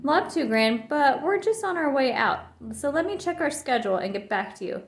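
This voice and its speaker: A woman's voice: high-pitched, animated, voice pushed up. Woman as a flustered mother bear, roomy sound. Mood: hurried, flustered. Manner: hurried, exclaiming.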